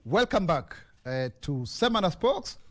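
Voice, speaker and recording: A man's voice: high voice, big pitch swings, unhurried. A man, hosting a TV studio interview with a joking, bantering manner. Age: 40s. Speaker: TV talk show host.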